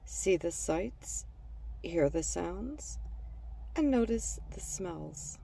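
A woman narrating a scripted guided relaxation for children in a gentle, soothing manner, leaving pauses between phrases. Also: lowish voice, lively intonation, creaky, far from the mic, slight background noise, low-quality recording.

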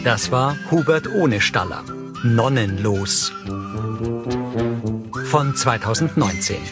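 Measured male audio-description narrator. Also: medium pitch, animated. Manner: measured, matter-of-fact. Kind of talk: scripted narration, present-tense audio description. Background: music.

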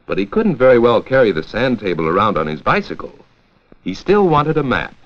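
An American man narrating a 1940s educational film from a script, with a clear, measured storytelling delivery. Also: mid-range voice. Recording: dull, lo-fi 1940s 16mm film soundtrack.

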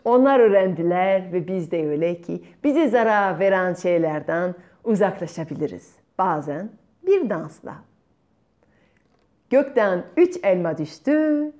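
A middle-aged woman telling a children's fairy tale expressively, with dramatic pauses. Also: lowish voice, lively intonation, warm tone. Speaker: children's storyteller. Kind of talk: performed fairy-tale storytelling. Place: bright room at home by a window. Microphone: small clip-on lapel mic.

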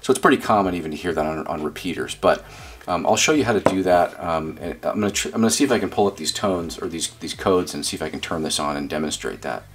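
Very low-pitched man, casual demo. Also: quick pace. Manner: informal, explanatory, thinking aloud. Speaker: ham radio hobbyist demonstrating equipment. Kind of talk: casual hands-on demonstration. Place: indoors at a desk.

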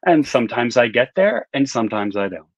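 A man's voice: medium pitch, expressive, brisk, no room sound. A middle-aged man giving casual, rambling interview answers with self-deprecating asides over a video call. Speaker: author, interview guest. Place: indoor room with bookshelves, on a video call. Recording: slightly dull video-call audio.

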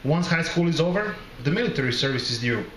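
A middle-aged man from the former Yugoslavia reading his literary short story aloud at a microphone, in a measured, deliberate and wry way. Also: a little high, lively intonation, fairly bright tone. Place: small bar with brick wall, indoors. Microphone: close microphone on a stand. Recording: roomy live recording, background noise.

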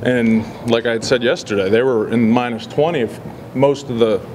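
A man in his forties, a football head coach, answering reporters after a loss in a measured, halting way with many fillers. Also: mid-range voice, background noise. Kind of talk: post-game press scrum. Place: indoor press backdrop area. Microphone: several handheld reporter mics.

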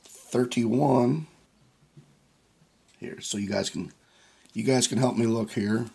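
A raspy, hoarse man who has lost some of his voice hosts his own vlog in a slow, easygoing drawl. He reads numbers off a ticket one at a time, his tone husky and rough with a gravelly edge. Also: mid-range voice, darkish tone. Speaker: scratch-off ticket channel host. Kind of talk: casual vlog narration.

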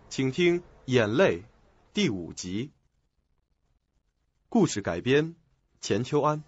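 A man's voice: mid-range voice, a little mellow, breathy edge. A man narrating a suspense radio drama in a scripted storytelling style. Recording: slightly dull audio with music. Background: background music.

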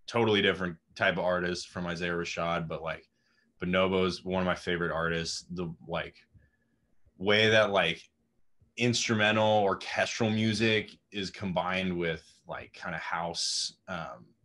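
A young man in his twenties with a raspy voice, chatting casually as a guest musician on a video call, rambling with lots of fillers. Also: low-pitched, steady tone, unhurried, fairly bright tone, a little hiss or hum. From Austin, Texas. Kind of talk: casual interview chat. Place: home room with music gear. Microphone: video call webcam.

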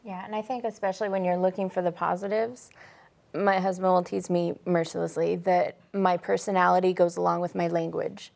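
A woman in her thirties speaks in a studio panel discussion. Her voice is fairly low with a strong vocal fry, and her delivery is conversational. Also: flat delivery, a little fast, a little mellow. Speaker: panelist on a religious study show. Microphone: lapel mic.

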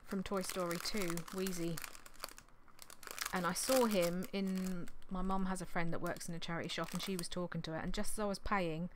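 A woman in her thirties, slightly hoarse from losing her voice, chatting in a rambling, apologetic way on a vlog, recorded in a small indoor craft room on a desktop mic. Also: lowish voice, steady tone, moderate pace, background noise. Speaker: clothing reseller vlogger. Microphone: desktop condenser mic on an arm.

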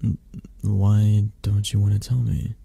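Very deep, mellow, tender dragon boyfriend played by a man. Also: level tone, creaky, breathy edge, exaggerated voice. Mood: gentle, reassuring. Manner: soft, teasing, reassuring, hesitant pauses. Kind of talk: intimate ASMR roleplay. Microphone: close, dry microphone.

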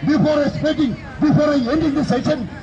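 A middle-aged man delivers an open-air street sermon with a very high voice. He speaks emphatically and keeps repeating his declarations into a handheld mic. Behind him a busy city plaza hums with crowd chatter and traffic noise. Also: a little fast, dull audio. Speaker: street preacher. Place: outdoor city plaza by a railway station. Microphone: handheld microphone.

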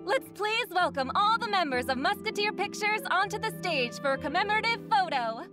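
A woman's voice: extremely high pitch, animated, a little fast, music in the background. A woman playing an upbeat event announcer in a fantasy game, projecting cheerfully. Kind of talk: English game voice acting.

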